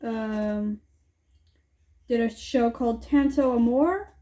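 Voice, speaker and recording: Playful young woman with a mellow voice. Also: on the high side. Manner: playful and teasing, quick to laugh. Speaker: co-host of a movie review channel. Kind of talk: casual movie review chat.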